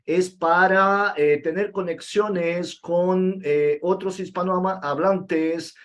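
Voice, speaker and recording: A man's voice: sits high, steady tone, takes it slowly, a bit bright. A man with as his hosts a video-call meeting with a light, raised voice. He talks loosely and informally, restarting phrases and drawing out his fillers. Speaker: host and Spanish teacher.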